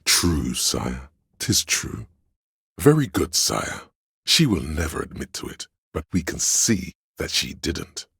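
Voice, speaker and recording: An actor voicing the grandiose, courtly inner voices of a detective in a video game, gravelly and husky, with a dramatic, archaic, declamatory delivery. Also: male voice, rumbling low, sing-song, slow, sharp tone, no room sound, clean studio sound, full character voice. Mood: pompous, courtly. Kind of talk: theatrical video game voice lines.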